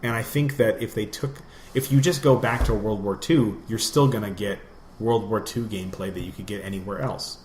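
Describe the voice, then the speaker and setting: A man's voice: fairly low pitch, brisk, darkish tone. A man chatting casually and ramblingly as a podcast co-host. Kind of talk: casual gaming chat. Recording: roomy echo, clear background noise.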